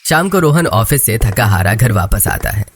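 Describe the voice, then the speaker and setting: A man's voice: fairly low pitch, very animated, normal speed, slightly rough. A man reading scripted narration for a moral story, with music behind him.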